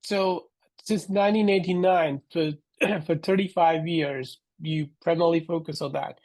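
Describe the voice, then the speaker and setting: A man hosting a podcast, reading a quick promo and then asking casual interview questions with fillers. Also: on the high side, fairly even intonation, a little mellow. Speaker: podcast host.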